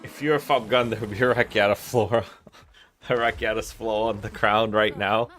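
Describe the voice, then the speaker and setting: A man's voice: fairly low pitch, fairly even intonation, normal speed, fairly bright tone. Laid-back Russian-born streaming gamer man. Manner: laid-back, swears, reads chat aloud, laughs often. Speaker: streamer host. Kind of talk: casual livestream chat while gaming.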